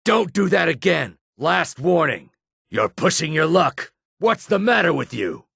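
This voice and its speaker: A man playing a gruff, wary, streetwise lizard-man in a video game, with a raspy, gravelly voice. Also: a little high, very animated, a little slow, fairly bright tone, no room sound, studio quality, exaggerated voice. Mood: alarmed, angry. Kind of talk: video game character dialogue lines.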